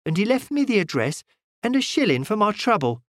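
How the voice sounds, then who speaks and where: A man's voice: high-pitched, big pitch swings, brisk, dry recording, studio quality, pitched above the performer's own voice. A man voicing a talkative, fussy middle-aged shopwoman retelling events in a gossipy way. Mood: chatty.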